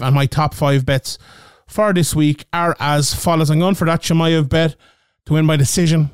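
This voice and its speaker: A man's voice: on the high side, clear, resonant tone, no echo, studio quality. An Irish man hosting a casual betting show, thinking aloud and checking the odds as he talks. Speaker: host. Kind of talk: casual betting picks talk.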